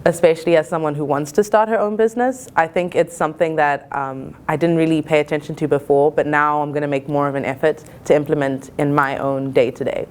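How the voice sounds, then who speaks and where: Woman, early twenties, low pitch, thoughtful, earnest, reflective student interview. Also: fairly even intonation, fairly quick, hardly any pauses. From Zimbabwe. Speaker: college student. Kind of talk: reflective interview-style talk.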